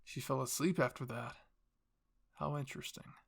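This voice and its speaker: A man's voice: medium pitch, moderate pace, vocal fry, husky. A man voicing a cold, scheming royal father who commands and explains without remorse in an anime fan fiction reading. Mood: coolly musing.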